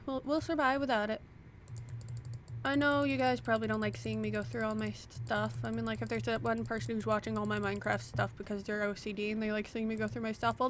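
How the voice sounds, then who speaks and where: A fairly high-voiced woman rambles cheerfully at her desk mic, chatty and self-deprecating as she plays. She mutters to herself while sorting through her things. Faint hiss sits under the voice. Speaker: YouTuber playing a block-building game. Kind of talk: casual gameplay chatter to the camera. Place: home desk. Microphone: desk microphone at a computer.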